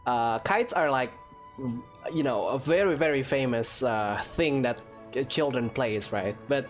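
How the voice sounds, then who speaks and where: Very low-voiced Indonesian-accented woman gamer. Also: measured pace, faint music behind. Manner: chatty, playful. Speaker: gaming video host. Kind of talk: casual gameplay commentary.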